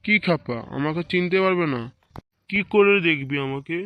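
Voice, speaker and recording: A man's voice: fairly high pitch, moderate pace, dull audio, slight background noise, lightly acted. Man voicing nosy, blustering older village man. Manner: questioning, indignant, repetitive. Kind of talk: comedy cartoon dubbing in colloquial village speech.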